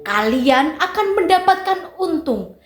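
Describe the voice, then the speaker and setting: A woman's voice: high-pitched, big pitch swings, a little slow, voice pushed up. A woman voicing a greedy, boastful male stranger who wants the land, in a storybook tale. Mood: taunting. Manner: taunting and boastful. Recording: roomy echo, faint music.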